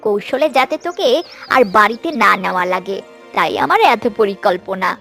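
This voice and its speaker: A woman voicing a greedy, bossy, cruel stepmother in an animated fairy-tale cartoon. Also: medium pitch, moderate pace, soft background music. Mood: gloating, cold. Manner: commanding, scolding.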